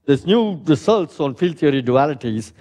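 A middle-aged man with a loud, fairly high voice lectures in a hall. He thinks aloud, dotting his talk with "uh" and "right?", and paces at the front. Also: animated, normal speed. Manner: thinking aloud with many "uh"s and tag questions. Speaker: physics lecturer. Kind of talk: academic lecture.

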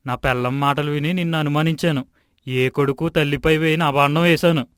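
A man playing a humble, deferential village man in an animated moral story. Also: a little high, a little fast, clean tone, dry recording, clean studio sound, close to an everyday voice. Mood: remorseful.

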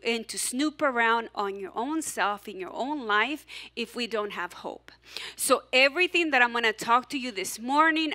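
Bright-voiced woman pastor with an accent. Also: a little high. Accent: non-native English. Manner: animated, encouraging, audience call-and-response. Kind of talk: sermon. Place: church stage, large hall.

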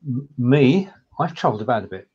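A man's voice: medium pitch, very animated, a little fast, a little mellow, slightly rough, dull audio. An older man with a Lancashire-Cumbrian hybrid accent, co-hosting a casual video call and explaining things methodically. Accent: Lancashire and Cumbrian hybrid English. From England. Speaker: co-host. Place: home room with pictures on the wall. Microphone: webcam or desk mic on a video call.

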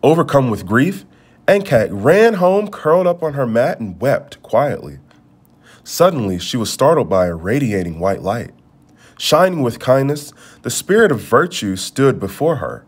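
A man narrates a storybook in measured phrases. His mid-pitched voice moves up and down in a lively way as he reads aloud. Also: husky. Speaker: storybook reader.

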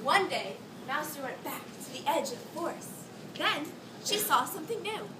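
A woman's voice: high voice, expressive, normal speed. A young woman narrating a children's story on stage in an emphatic, theatrical way. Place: classroom, recorded by a far camera. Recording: echoey classroom from far off, noisy.

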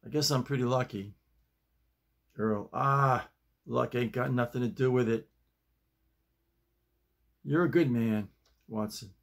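Man around 70, medium pitch, unhurried and halting, plain home-recorded read or chat. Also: vocal fry. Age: older, around 70. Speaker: YouTube host and playwright reading own work. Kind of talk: script reading and casual vlog talk. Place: small home office, indoors.

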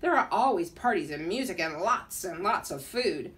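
A woman voicing a gruff, jovial male Nutcracker ornament from a children's storybook. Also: lowish voice, animated, moderate pace, a bit bright. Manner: gruff, hearty. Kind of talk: storybook character read-aloud. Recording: distant mic in a room.

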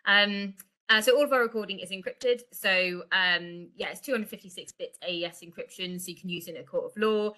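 A woman's voice: medium pitch, a little fast, sharp tone. A middle-aged woman giving an informal, explanatory product presentation over an online video call. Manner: explanatory, conversational. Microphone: video call microphone.

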